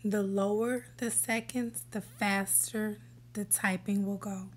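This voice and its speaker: A woman hosting a screen-recorded app tutorial, giving relaxed step-by-step instructions that sometimes drop to a whisper. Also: mid-range voice, slow. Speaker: tutorial host. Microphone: voice-over for a screen recording.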